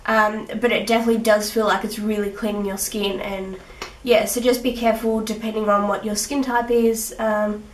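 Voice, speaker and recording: A young woman with a sick, husky rough voice, chatting through her skincare routine with little laughs. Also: mid-range voice, flat delivery, normal speed. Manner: chatty, giggly, with fillers. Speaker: beauty vlogger. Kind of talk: casual skincare tutorial. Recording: echoey room, mic at a distance.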